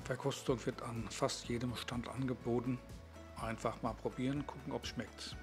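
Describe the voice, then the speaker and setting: A man's voice: mid-range voice, steady tone, normal speed, over loud music. A man, presenting and narrating a vlog. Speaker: vlogger.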